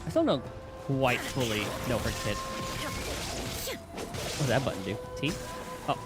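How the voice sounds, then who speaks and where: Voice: medium pitch, wildly swooping pitch, takes it slowly. A streamer giving casual, offhand commentary while playing a video game. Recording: loud game music behind the voice. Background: loud game music.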